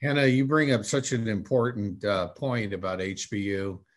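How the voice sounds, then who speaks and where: A man's voice: lowish voice, steady tone, moderate pace. Reflective male podcast host. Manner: reflective, affirming questions. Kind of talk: interview.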